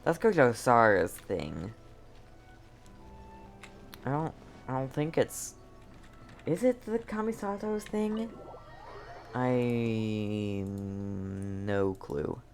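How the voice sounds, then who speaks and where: A man's voice: mid-range voice, expressive, very slow, vocal fry, pauses often, faint music behind. A man giving casual live gameplay commentary as a streamer, laid-back and musing aloud. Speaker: game streamer.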